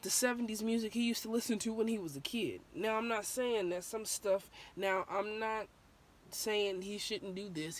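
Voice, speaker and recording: A young woman with a sore, slightly hoarse throat, talking through her opinions in a candid, rambling vlog full of ums and restarts. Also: mid-range voice. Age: young adult. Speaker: vlogger.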